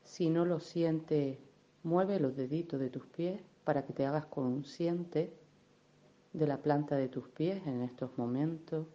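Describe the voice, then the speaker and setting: A woman leading a guided meditation in a gentle, unhurried, soothing manner, with affectionate little diminutives. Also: deep voice, muffled recording. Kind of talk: guided meditation, soft instruction.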